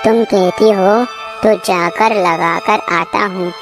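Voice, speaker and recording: A woman's voice: mid-range voice, steady tone, slow, music in the background. A woman voicing a poor, kind, earnest village husband in a moral cartoon story. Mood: sad, resigned.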